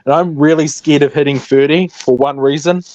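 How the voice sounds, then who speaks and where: A man's voice: on the high side, fairly even intonation, a little fast, a little mellow, no room sound, muffled recording. A man in his late twenties chatting casually as a livestream guest, joking and self-deprecating. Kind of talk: casual chat.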